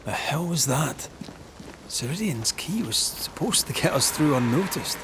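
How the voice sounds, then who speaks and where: A man's voice: on the high side, expressive, unhurried, background noise. A man playing a war-haunted ghost hunter in a video game, with a Scottish accent and an earnest, plain-spoken manner. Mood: alarmed. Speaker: game voice actor. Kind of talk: dramatic game dialogue.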